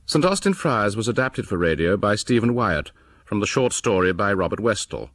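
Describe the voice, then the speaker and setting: A man hosting a horror anthology, delivering scripted narration in a deliberate, theatrical and ominous manner. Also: fairly low pitch, big pitch swings, a bit bright, no room sound, studio quality.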